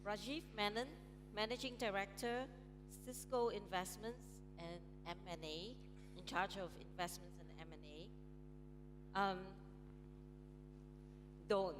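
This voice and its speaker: A woman's voice: mid-range voice, fairly even intonation, slow pace, pauses often, background noise. A woman moderating a conference panel on stage, chatty, with frequent ums and light laughs. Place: large conference hall on stage. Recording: reverberant hall, music playing.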